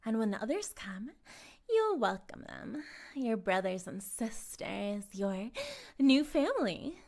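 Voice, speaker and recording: Casting: woman to play a female cult leader captor, sweetly menacing and self-righteous, higher voice, very unhurried, theatrical cooing for intimate audio drama. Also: sing-song, a little mellow, exaggerated voice. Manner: theatrical, cooing, condescending, drifting into breathy whispers. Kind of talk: intimate audio roleplay monologue addressed to the listener.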